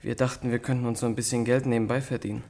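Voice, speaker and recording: An actor playing a timid, contrite young male student suspect in a radio drama, speaking haltingly and earnestly. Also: medium pitch, level tone, creaky, dark tone, a little hiss or hum, natural voice. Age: young adult. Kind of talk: German radio drama acting.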